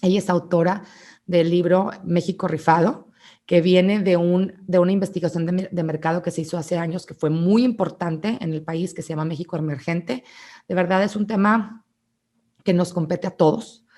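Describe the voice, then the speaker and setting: A woman's voice: fairly low pitch, fairly even intonation, normal speed, darkish tone. Eager young Mexican woman host. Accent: Mexican Spanish. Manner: eager and enthusiastic. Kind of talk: interview hosting.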